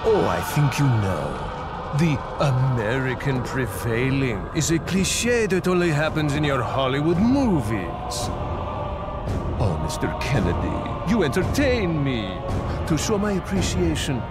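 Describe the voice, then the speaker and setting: A man's voice: mid-range voice, big pitch swings, unhurried. A man playing a smug, pompous cult leader villain who mocks his foe in an action game cutscene. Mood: mocking, pompous. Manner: pompous, mocking. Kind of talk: theatrical video game villain acting. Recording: loud music behind.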